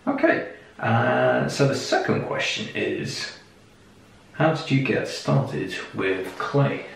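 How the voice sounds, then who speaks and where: A man hosts a casual interview at a slow, halting pace. His voice is gravelly, with plenty of fry. The room rings heavily around his informal questions. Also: medium pitch, lively intonation. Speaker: interviewer and video host. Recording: echoey room, distant mic, faint noise.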